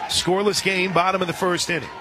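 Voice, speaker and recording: An American man doing live radio play-by-play commentary of a softball game. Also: high-pitched, flat delivery, fast talker, noisy background. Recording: outdoor stadium broadcast with crowd and music. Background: ballpark crowd and music.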